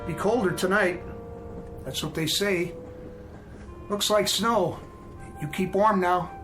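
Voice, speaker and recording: A chatty no-nonsense american train conductor, pretty high voice, radio play with soft music under it. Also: male voice, slow, low-quality recording. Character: folksy, matter-of-fact male train conductor. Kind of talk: radio drama acting.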